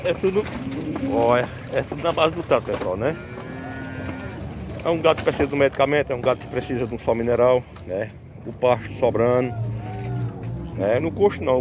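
A man, a farmer vlogging a casual walkthrough at an outdoor cattle market, filling his talk with tag questions. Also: mid-range voice. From Northeast Brazil. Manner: chatty, fills with "né" and "pessoal". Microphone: handheld phone camera. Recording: outdoor noise of cattle and vehicles.

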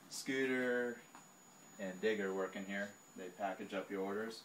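Man, 30s, casual shop-tour guide, low voice, echoey warehouse with a faint hum. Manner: lists items while walking, filming handheld. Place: large indoor parts warehouse and shop. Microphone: handheld phone camera.

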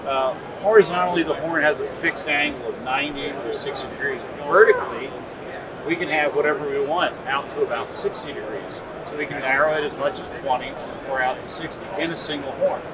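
A man in his forties giving a measured, technical product demonstration as a company representative. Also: fairly high pitch, heavy background noise. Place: busy indoor convention hall booth. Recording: loud trade show hall, distant mic. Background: loud exhibition hall ambience.

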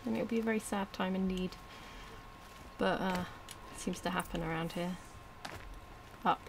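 A woman's voice: on the low side, fairly even intonation, normal speed, background noise. A woman giving casual, informal let's-play commentary, muttering to herself and to the game as she plays. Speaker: gaming video host.

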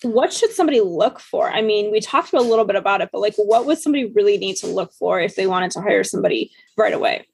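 Female podcast host, husky voice, dry close-miked sound, relaxed chatty delivery. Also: mid-range voice, fast, few pauses, no room sound. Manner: conversational, lots of "like" and "I mean". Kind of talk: casual interview chat.

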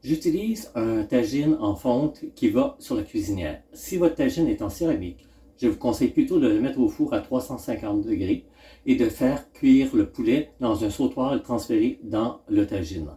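Relaxed man cooking in his home kitchen. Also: medium pitch. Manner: relaxed, thinking aloud with frequent "euh" pauses. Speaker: home cooking channel host. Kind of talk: casual cooking demonstration.

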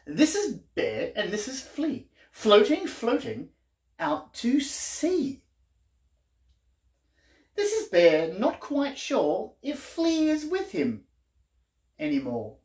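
Animated man reading to kids. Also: extremely high pitch, slow, pauses often, reverberant. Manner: playful, exaggerated storyteller delivery with questions to the listener. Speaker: children's entertainer reading aloud. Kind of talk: animated picture-book read-aloud for young children. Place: small living room, indoors.

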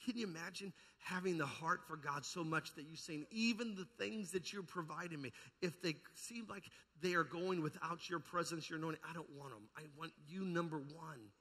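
A man preaches with a fairly high, sharp, forceful voice. His delivery is fervent and emphatic, leaning on repeated phrases and rhetorical questions to the congregation. The sound carries the long reverb of a large amplified church. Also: moderate pace, few pauses. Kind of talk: charismatic evangelical sermon. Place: large indoor church hall.

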